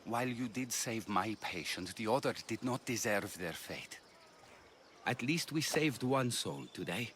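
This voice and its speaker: An actor playing a grave, compassionate older Greek physician in a dramatic video game, measured and solemn in manner. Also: male voice, lowish voice, expressive, fairly bright tone, a little hiss or hum. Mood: calm, wise.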